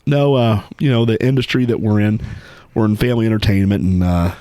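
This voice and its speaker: A man's voice: fairly low pitch, steady tone, brisk, mellow, no echo. A man from Ohio co-hosting a casual podcast, telling rambling stories full of fillers. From Ohio, USA. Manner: rambling, filler-heavy storyteller. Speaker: podcast co-host. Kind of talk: casual chat.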